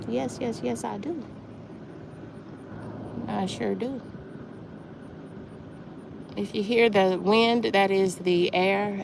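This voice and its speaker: A woman in her late forties talks casually to her livestream viewers, often repeating her words. Her voice sits low with a gravelly edge. There is faint wind noise from her walk outdoors. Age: 40s. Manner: chatty, repeats phrases, addresses viewers as y'all. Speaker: livestream host.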